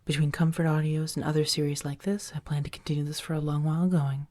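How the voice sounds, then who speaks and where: A soft-spoken woman, an audio roleplay creator, chatting gently and appreciatively to her listeners. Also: low voice, level tone, fast talker.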